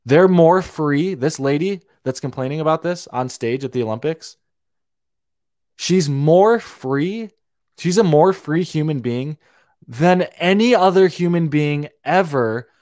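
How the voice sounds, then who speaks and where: A man's voice: on the high side, a little mellow, dry recording, clean studio sound. A young man, born in Romania, hosting a podcast in a heated, swearing rant full of restarts and repeated words. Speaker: podcast host. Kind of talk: opinionated monologue.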